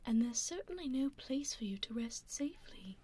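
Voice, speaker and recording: A woman with a British accent voicing a teasing aristocratic female vampire in an ASMR roleplay, whispering softly and slyly into a binaural mic. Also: fairly high pitch, lively intonation, normal speed, husky. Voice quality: soft whisper, sly and mocking.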